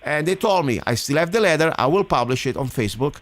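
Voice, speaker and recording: A man's voice: fairly high pitch, expressive, quick pace. Man, radio host, rambling, indignant. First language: Italian. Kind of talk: casual radio talk.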